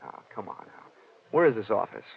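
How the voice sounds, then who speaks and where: An American actor playing a wry, tough insurance investigator in a 1940s radio detective drama, with a clipped, sardonic delivery. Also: male voice, lowish voice, animated, a little fast, husky, exaggerated voice. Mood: wry probing. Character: wry, tough male freelance insurance investigator, hard-boiled. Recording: dull vintage 1940s radio recording.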